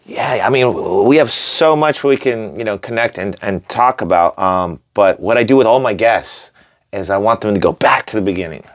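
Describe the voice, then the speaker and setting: A man's voice: fairly low pitch, animated, normal speed. A man hosting a casual interview, talking informally and often chiming in with short agreeing interjections. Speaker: podcast host. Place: recording studio.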